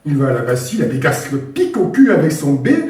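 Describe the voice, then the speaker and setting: A man with a hoarse, damaged voice telling a rural folk tale at an open stage. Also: on the high side, fairly quick. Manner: lively, animated storytelling. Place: live open-mic stage in a hall. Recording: very echoey hall from far off, faint guitar.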